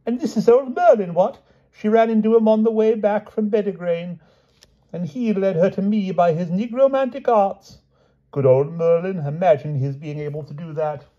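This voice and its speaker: Giddy, dithering king for a storybook: very high, wildly animated, blustering and full of questions. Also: moderate pace, hardly any pauses, slightly rough, muffled recording, exaggerated voice. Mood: high delight. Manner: blustering, chatty, repetitive questioning. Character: giddy, excitable, dithering old-fashioned English king.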